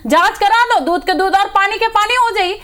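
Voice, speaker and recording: A woman with a strikingly high voice speaks as a party spokesperson in a televised debate. She has a Chhattisgarh accent. Her delivery is argumentative, full of rhetorical challenges. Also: normal speed, fairly bright tone. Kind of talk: political TV debate.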